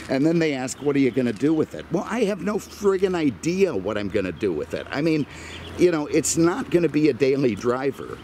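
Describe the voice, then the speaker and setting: A man's voice: medium pitch, a little mellow, a little hiss or hum. A middle-aged American man giving a rambling, casual car walkaround with wry, self-deprecating, exasperated humor and mild swearing. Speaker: car review vlogger.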